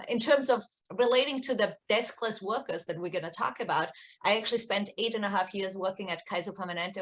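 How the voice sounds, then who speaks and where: A woman's voice: lowish voice, fairly bright tone, slight background noise. A middle-aged woman from Austria, a native German speaker with an Austrian accent, explaining things conversationally as an interview guest. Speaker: research executive, interview guest.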